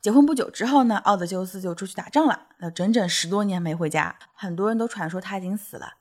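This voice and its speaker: A woman's voice: medium pitch, quick pace, darkish tone. A woman co-hosting a casual podcast chat, explaining stories at length and agreeing often. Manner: explains at length, stacks many agreeing "对对对". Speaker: podcast co-host. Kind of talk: casual chat about fairy tales.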